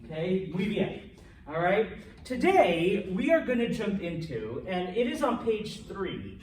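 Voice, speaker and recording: A male language instructor giving a relaxed classroom lecture, joking and checking in with students often. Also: high-pitched, unhurried. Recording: echoey classroom from a distance, faint noise.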